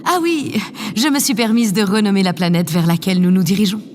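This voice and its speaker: A self-assured woman president of a spaceship, played by an actress, gracious and effusive in an audio drama. She coaxes and flatters grandly, at an average pitch. The room rings with reverb and carries a faint noise. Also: fairly quick, close to an everyday voice. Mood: self-satisfied.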